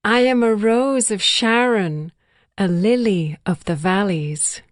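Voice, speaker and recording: An actress playing a tender, devoted young bride in a dramatized scripture reading, with measured, poetic delivery. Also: female voice, mid-range voice, dry recording, clean studio sound, natural voice. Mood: tender, adoring.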